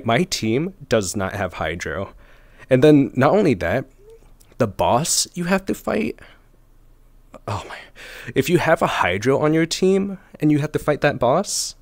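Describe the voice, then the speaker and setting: A man's voice: fairly high pitch, sing-song, measured pace, no echo. A man giving casual reaction commentary, musing aloud in a conversational way. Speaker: reaction video host. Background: faint music from the trailer.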